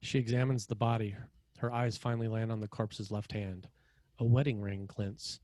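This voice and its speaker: A man's voice: lowish voice, fairly even intonation, normal speed, mellow, dry recording. A man reading screenplay action lines as narrator at a table read. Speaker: screenwriter narrating stage directions.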